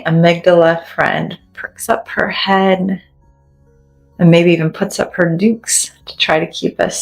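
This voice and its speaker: A woman leading a guided meditation in a gentle, soothing, deliberate way, leaving long pauses between phrases. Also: lowish voice, creaky, poor audio. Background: soft background music.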